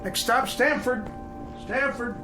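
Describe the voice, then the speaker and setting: A chatty no-nonsense american train conductor, pretty high voice, radio play with soft music under it. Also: male voice, takes it slowly. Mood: calling out. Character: folksy, matter-of-fact male train conductor. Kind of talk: radio drama acting.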